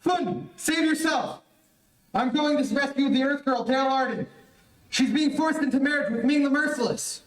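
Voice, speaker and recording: A man's voice: very high-pitched, moderate pace, fairly bright tone. A man playing a brave, earnest young space hero in an old-time radio adventure, with declarative delivery. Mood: urgent, daring. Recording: echoey, distant streamed stage audio.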